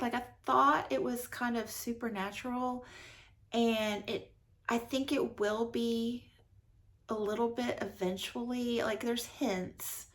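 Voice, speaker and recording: Woman, book vlogger, creaky voice, slow-paced, casual unscripted chat, enthusiastic. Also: on the high side. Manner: enthusiastic, conversational, gushing about books. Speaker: book vlogger reviewing her recent reads.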